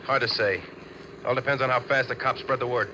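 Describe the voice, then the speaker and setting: An actor playing a tough, impatient young bank robber with a curt American delivery, in 1940s radio style. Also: male voice, mid-range voice, steady tone, fairly quick, close to an everyday voice. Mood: tense, controlled. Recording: muffled old radio, noisy car background.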